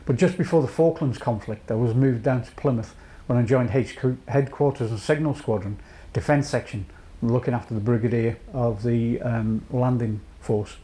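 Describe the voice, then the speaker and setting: A man from North Wales in his late fifties, a charity chief executive giving a measured, reflective personal account with many pauses. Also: mid-range voice, mellow. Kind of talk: personal testimony to camera.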